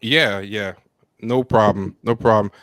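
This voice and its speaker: A man in his thirties co-hosting a casual livestream chat from home, joking at his own expense, laughing often and pausing on fillers. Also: fairly low pitch, steady tone, fairly bright tone, no room sound. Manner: self-deprecating, laughs often, trails off with fillers. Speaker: podcast co-host. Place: home room with plants and posters. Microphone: studio mic with headset, on a video call.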